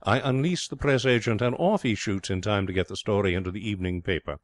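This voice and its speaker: A man's voice: lowish voice, quick pace, dry recording, natural voice. A man voicing a breezy, self-satisfied young schemer in a comic story, florid and cajoling with cheerful pomposity. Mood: grandly enthusiastic. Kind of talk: storybook character voice in comic fiction reading.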